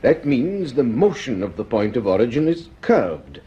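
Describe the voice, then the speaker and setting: An actor playing a keen middle-aged astronomer in a vintage radio drama, reasoning emphatically step by step. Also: male voice, mid-range voice, very animated, moderate pace, mellow, highs cut off, close to an everyday voice. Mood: intent, deducing. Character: keen senior male Scottish astronomer, thoughtful and decisive.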